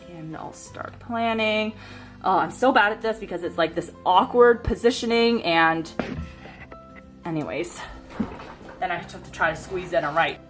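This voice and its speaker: A woman in her thirties chatting casually about her planner, sniffly from a cold and rambling with lots of fillers. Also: medium pitch. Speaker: planner vlogger. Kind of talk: casual chat while planning. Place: home desk by a bright window.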